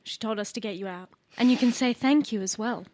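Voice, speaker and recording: A woman's voice: a little high, brisk, darkish tone, dry recording. A woman chatting casually and speaking in character as a player in a tabletop roleplaying game.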